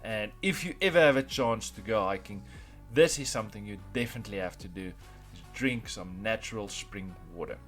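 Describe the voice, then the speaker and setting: A man's voice: lowish voice, normal speed, hardly any pauses, fairly bright tone, faint music behind. A man from South Africa with an Afrikaans accent, narrating a casual hiking vlog outdoors, plain and encouraging, with frequent fillers. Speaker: vlogger. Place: outdoors on a rainforest trail.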